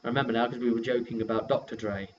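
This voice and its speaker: Male game master and vlogger, casual and halting recap, middle-pitched voice, lo-fi audio with faint noise. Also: fairly even intonation. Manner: halting, self-correcting delivery. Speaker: game master and video host. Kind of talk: casual rambling session recap. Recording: dull lo-fi sound with faint noise.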